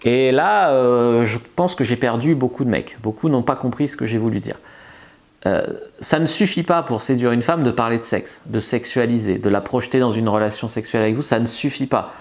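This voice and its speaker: A man's voice: medium pitch, a little fast, a little mellow. Casual, chatty male seduction coach. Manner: loose and chatty, frequent filler words and restarts. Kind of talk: casual advice monologue to camera.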